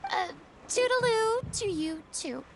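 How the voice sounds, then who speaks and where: A woman's voice: very high voice, expressive, slow pace, heavy background noise, full character voice. A woman voicing a guarded, awkward young lady in an anime game, with a theatrical, hesitant manner.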